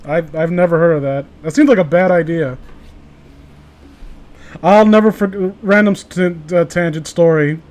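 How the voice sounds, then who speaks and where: Guy with a really high voice, casual podcast banter, swears and jokes around. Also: normal speed, pauses often, darkish tone. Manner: sweary, joking, exclamatory. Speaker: podcast co-host reading internet horror stories. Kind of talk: casual comedic chat with mocking read-alouds.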